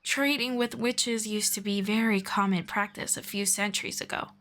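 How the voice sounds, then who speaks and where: A woman voicing a gentle, patient female elf mentor in an ASMR roleplay, speaking softly and slowly and slipping into whispers. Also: medium pitch, fairly even intonation, close to an everyday voice. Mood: gentle, soothing. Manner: soft-spoken, slow and patient, drifting into whispers. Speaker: voice actor.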